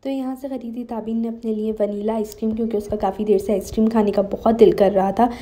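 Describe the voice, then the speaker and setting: A woman's voice: fairly high pitch, fairly even intonation, fast, dark tone. A young woman with a Pakistani accent, casually narrating a food vlog. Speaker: vlog co-host. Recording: clear background noise.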